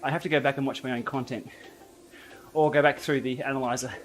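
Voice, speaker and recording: A man's voice: mid-range voice, fairly even intonation, fast, a little hiss or hum. A man hosting a live indoor cycling stream, chatting casually and answering viewer comments as he rides. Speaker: livestream host. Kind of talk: casual live chat while riding. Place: indoor training room.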